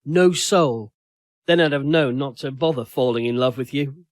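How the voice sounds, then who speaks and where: A man's voice: mid-range voice, normal speed, dry recording, clean studio sound, natural voice. A man voicing a hurt, accusing woman in an audio story, reproachful and pleading. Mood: bitter, hurt. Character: Steph, hurt, accusing young woman in a failing relationship.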